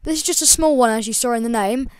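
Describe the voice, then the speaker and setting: A young woman with a slightly croaky voice, hosting a casual game tutorial voice-over in a chatty, rambling way with lots of ums. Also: fairly high pitch, fast talker, a little hiss or hum. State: croaky, voice breaking. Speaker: gaming channel host.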